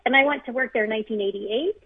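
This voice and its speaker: A woman's voice: fairly high pitch, very fast, slightly rough, no room sound. A woman in her fifties from the United States, a guest on an interview who tells her story in a friendly, self-deprecating way. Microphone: phone. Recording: tinny phone line.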